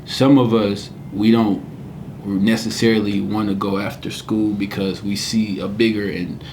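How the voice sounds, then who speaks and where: A man's voice: on the low side, level tone, measured pace, darkish tone, a little hiss or hum, poor audio. A man in his twenties from Cameroon, a podcast guest telling his story in an earnest, reflective casual chat. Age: 20s.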